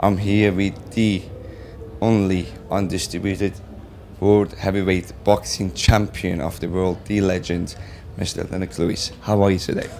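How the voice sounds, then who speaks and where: Lower-pitched male interviewer, polite and halting, live from a trade fair stand. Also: flat delivery, vocal fry, a little mellow, slight background noise. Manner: halting, effusively polite, loose phrasing. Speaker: interviewer for a brand's web TV.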